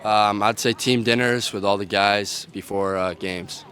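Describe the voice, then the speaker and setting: A young athlete answers casually, hesitating with "um" and "uh" as he goes. His voice sits on the low side for a man, and he is recorded outdoors. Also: steady tone, measured pace, fairly bright tone, clear, resonant tone. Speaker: lacrosse player.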